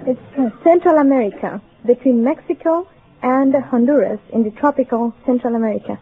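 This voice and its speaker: A woman's voice: sits high, a little fast, phone-quality sound. A 21-year-old woman from Guatemala with a Spanish accent, a quiz contestant giving shy, giggly answers, on an old radio recording with a studio audience. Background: studio audience laughter.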